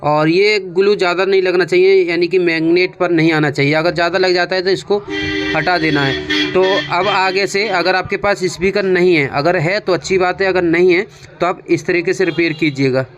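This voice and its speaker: A man's voice: sits high, fairly even intonation, normal speed, a bit bright, dull audio. A man with an Indian accent narrates a hands-on repair tutorial as a technician, explaining step by step in a conversational way and often repeating his instructions. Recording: clearly noisy background.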